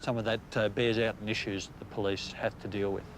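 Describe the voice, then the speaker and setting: A middle-aged Australian man with a lowish voice talks steadily, pausing often on "uh" as he explains his police work. He is recorded outdoors beside a road, and clear traffic and street noise sits behind him. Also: flat delivery. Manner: measured, frequent "uh" pauses. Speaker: police area commander. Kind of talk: documentary interview.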